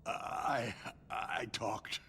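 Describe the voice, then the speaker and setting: An actor playing a battered, rattled older arms-industry boss held prisoner in a video game, stammering in a strained, gravelly voice. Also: male voice, fairly bright tone. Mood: dazed. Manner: halting, stammering, agitated. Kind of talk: video game cutscene acting. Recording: game audio with music underneath. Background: cutscene music.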